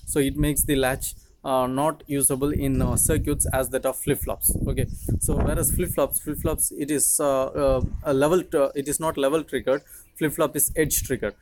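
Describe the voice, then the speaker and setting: A man in his twenties, a native Tamil speaker with an Indian accent, giving an explanatory lecture in a halting, repetitive way. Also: medium pitch, flat delivery, noisy background. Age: 20s. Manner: halting, repetitive, frequently checking with "okay". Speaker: teacher, video channel host.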